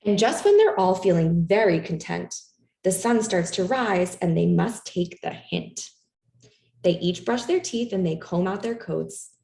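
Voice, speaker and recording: A lower-voiced woman reads a children's story aloud with a mellow tone. Her delivery is expressive and animated, made for young listeners, with some creak in the voice. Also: a little slow. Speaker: storytime reader. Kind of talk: expressive read-aloud of a children's picture book. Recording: roomy, echoey room sound.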